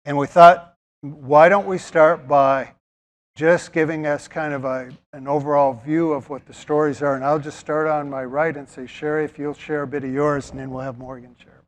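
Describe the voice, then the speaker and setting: A man hosting, introducing two guests at a church in a loose, halting way. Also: fairly high pitch, little pitch movement. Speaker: host.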